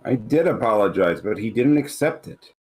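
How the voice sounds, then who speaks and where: A man's voice: lowish voice, lively intonation, normal speed, a little mellow, close to an everyday voice. A man playing a sullen, defensive teenage boy in a script read, grudging and slangy. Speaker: amateur actor reading a part. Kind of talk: screenplay table read, dramatic dialogue.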